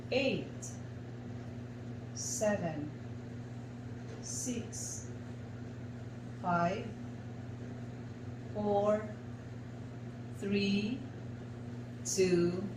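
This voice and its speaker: A woman with a Filipino accent guides an art exercise as a facilitator, giving deliberate instructions in short, separated phrases. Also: mid-range voice. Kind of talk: slow guided instruction for a radio lesson. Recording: echoey room, clear background noise.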